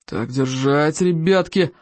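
Narrator acting bossy, bellowing gruff Viking teacher. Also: medium pitch, no echo. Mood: encouraging. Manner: drawn-out commanding shouts and growls.

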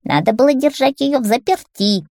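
A storybook witch, very old and menacing. Her voice is croaky and dark, recorded close and dry. She snaps and threatens like someone who loses her temper fast. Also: high-pitched, lively intonation, dry recording, pitched above the performer's own voice. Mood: scolding. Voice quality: hoarse, creaky. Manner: snappish, threatening. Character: ancient, hot-tempered, menacing female witch.

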